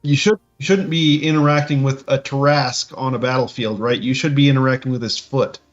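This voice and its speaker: A man co-hosting a podcast, talking casually and haltingly, often repeating phrases and checking in with a questioning "right?". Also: mid-range voice, steady tone, highs cut off, faint music behind. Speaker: podcast co-host. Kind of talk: casual discussion of tabletop roleplaying games.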